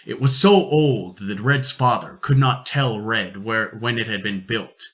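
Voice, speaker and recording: A man's voice: mid-range voice, a little slow, fairly bright tone. A man narrating a story expressively as a storyteller and co-host.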